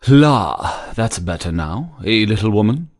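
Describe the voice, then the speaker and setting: A woman's voice: extremely low pitch, animated, measured pace, darkish tone. A woman voicing a weary, good-humoured English baronet who speaks in sleepy, drawly, affected tones. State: weak and exhausted. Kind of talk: audiobook character voice.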